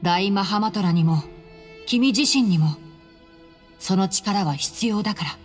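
A woman plays a gentle, clever fox-eared boy ranger from an anime game. The voice is boyish, calm and earnest, ringing in a hall with some music behind it. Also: medium pitch, measured pace, close to an everyday voice. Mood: calm encouragement. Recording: reverberant hall with background music.

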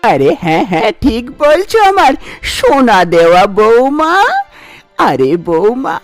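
A woman's voice: high-pitched, dry recording. A woman voicing a demanding, greedy older village mother-in-law in a cartoon fairy tale, with a bossy, calling manner.

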